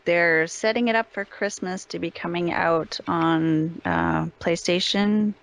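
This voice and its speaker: A woman's voice: on the low side, steady tone, measured pace, vocal fry. A woman speaking casually as an interview guest.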